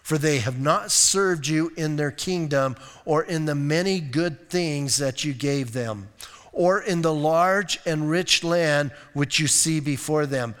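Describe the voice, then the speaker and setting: A male pastor preaching a conversational, emphatic sermon. He asks rhetorical questions and reads scripture slowly and deliberately. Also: a little high, a bit bright, smooth. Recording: reverberant hall sound.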